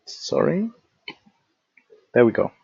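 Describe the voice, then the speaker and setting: A man's voice: mid-range voice, big pitch swings, takes it slowly, gravelly, a little mellow, husky, no echo. A man moderating a webinar from an office, politely reading a scripted introduction and risk disclaimer. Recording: dull lo-fi webinar audio.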